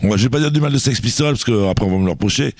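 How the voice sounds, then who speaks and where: A man's voice: medium pitch, lively intonation, rapid-fire, darkish tone, no room sound. A man presenting music on a radio show in a studio, chatting casually and rambling with many fillers and restarts. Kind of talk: casual radio chat about music history.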